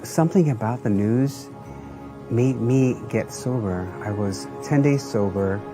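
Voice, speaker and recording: A man's voice: medium pitch, a little slow. A man giving an earnest personal testimonial, telling his story plainly in short phrases. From Southeast Asia and the United States. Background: music.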